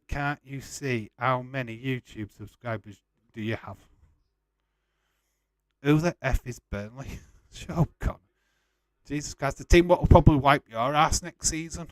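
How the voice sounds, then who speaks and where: A man's voice: medium pitch, no room sound. Yorkshire man chatting casually, close and dry. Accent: Yorkshire English. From West Yorkshire, England. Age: forties. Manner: informal, blunt, chummy, swearing. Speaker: livestream host. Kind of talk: casual watch-along chat, reading out viewer comments.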